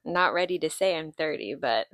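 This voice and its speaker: A woman in her late twenties hosts a relaxed podcast chat, her voice sitting a little low for a woman. She drifts from one tangent to the next, filling pauses with ums. The sound is close and dry. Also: no echo. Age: 20s. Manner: chatty, rambling, with many ums and tangents. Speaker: podcast host. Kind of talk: casual chat.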